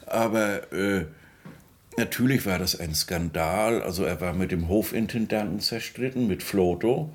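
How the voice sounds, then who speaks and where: A man explaining informally as a commentator, halting and correcting himself as he thinks aloud. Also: low voice. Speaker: commentator on a composer.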